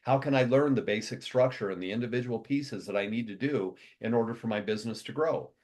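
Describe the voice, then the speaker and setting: A man from the United States gives a motivational talk to his company's members. He talks quickly and rambles, restarting sentences and reeling off long lists. His pitch sits in the middle range for a man. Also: a little mellow. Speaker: company CEO addressing members.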